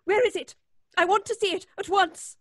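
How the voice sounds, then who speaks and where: An actress playing a distressed, indignant, prim woman, emphatic and high-strung, in old-time radio suspense style. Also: fairly even intonation, normal speed, no room sound, studio quality. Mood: indignant, sceptical.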